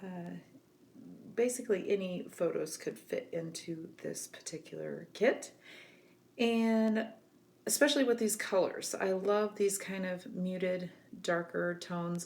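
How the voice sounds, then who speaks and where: A woman with a scratchy voice from a cold, giving a relaxed, chatty scrapbooking tutorial. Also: on the low side, gravelly. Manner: relaxed, chatty, many ums. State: getting over a cold, scratchy voice. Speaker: craft instructor.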